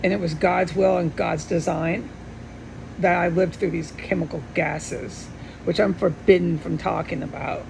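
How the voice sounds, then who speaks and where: A middle-aged woman giving a rambling, earnest personal monologue with insistent, repeated emphasis and a gravelly voice. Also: a little slow, poor audio. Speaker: vlogger. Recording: steady background hum and rumble.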